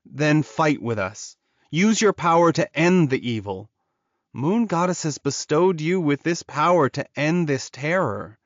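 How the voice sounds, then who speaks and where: A man's voice: on the high side, expressive, a little slow, dry recording, dull audio, voice pushed up. A man voicing a lovelorn male werewolf in an audiobook, earnest and urging as he encourages his beloved. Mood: urgent encouragement. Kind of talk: audiobook character dialogue.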